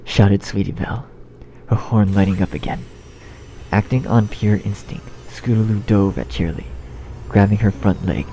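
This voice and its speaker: A man's voice: lowish voice, normal speed, warm tone, a little hiss or hum, poor audio. A man reading a scripted story as narrator, in a dramatic storytelling style. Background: music.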